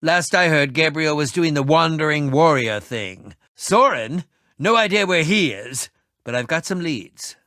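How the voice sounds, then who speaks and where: Eccentric self-styled ninja, pompous and wordy, dramatic game character, unhurried pace, close dry sound. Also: male voice, a little high, expressive, bright, forceful tone, dry recording. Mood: musing. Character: eccentric, theatrical, self-important man who fancies himself a ninja. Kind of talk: animated video game voice acting.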